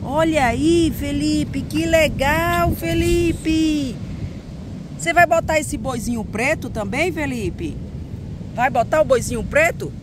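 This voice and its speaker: A woman narrating children's toy play outdoors in a sing-song, animated way, with playful sound effects, recorded on a phone. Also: high-pitched, slow. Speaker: host of a kids' toy channel. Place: outdoors on grass in a beach park. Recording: outdoor noise with passing traffic.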